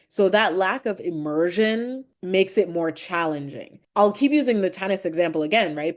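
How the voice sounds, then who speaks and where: Reflective woman podcaster, low voice. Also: a little mellow. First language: French. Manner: chatty, reflective, laughs between phrases. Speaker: podcast host. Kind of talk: solo podcast monologue. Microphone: podcast microphone.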